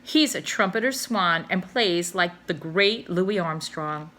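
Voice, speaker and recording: A loud, boastful boatman who talks up his friend with indignant gusto, as a woman reading a storybook puts him on. Her voice stays bright and lively, punching the words out. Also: lowish voice, takes it slowly.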